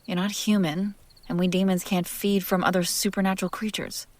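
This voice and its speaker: A woman's voice: mid-range voice, little pitch movement, a little fast, lightly acted. A woman playing a possessive, sardonic and curious female demon in an intimate, wry audio roleplay spoken to the listener. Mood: baffled, irritated. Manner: teasing, wry, conversational, breaking into hushed whispers.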